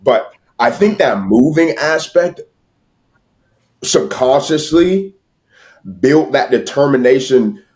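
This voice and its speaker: A man's voice: mid-range voice, lively intonation, takes it slowly, pauses often, a little mellow. An American man talking casually as an interview guest, emphatic and repetitive, with slangy asides to the listener. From United States. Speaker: podcast guest, sales entrepreneur. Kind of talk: casual motivational interview talk.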